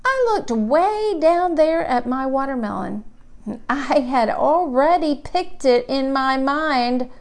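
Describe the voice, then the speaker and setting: A curious small boy from a children's storybook, as a woman reads him, speaks in a high voice. He takes things literally and puzzles over them slowly, with childlike deliberation. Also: lively intonation, heavily acted. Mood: earnest musing. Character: curious, literal-minded small boy narrating about his great-uncle.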